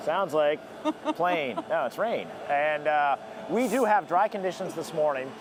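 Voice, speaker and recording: A man's voice: on the high side, lively intonation, a little slow, a loud crowd. A man working as a TV weather forecaster, chatting and forecasting casually on live morning television outdoors. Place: outdoors at a ballpark. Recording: live outdoor broadcast with clear street noise.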